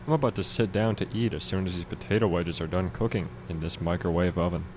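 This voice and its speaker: A man playing a matter-of-fact, deadpan slacker antihero in a comic radio play. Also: low-pitched, fast talker, slight background noise, lightly acted. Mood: casual. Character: deadpan baby-eating male antihero slacker.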